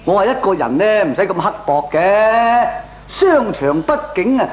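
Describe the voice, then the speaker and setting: Animated, indignant older Cantonese uncle. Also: male voice, high-pitched, moderate pace, highs cut off. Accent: Hong Kong Cantonese. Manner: animated, expository, exclamatory. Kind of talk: Cantonese comedic radio drama acting. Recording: roomy, echoing radio drama recording.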